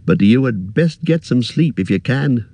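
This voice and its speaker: A man's voice: medium pitch, expressive, normal speed, dark tone, dry recording, voice pushed up. A man reading aloud, voicing a fussy, anxious middle-aged male innkeeper who chatters in a rustic way. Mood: earnest.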